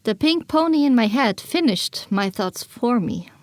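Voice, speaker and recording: A woman's voice: on the high side, animated, unhurried, no room sound. A woman narrating an audio drama in the first person, with a dramatic scripted delivery.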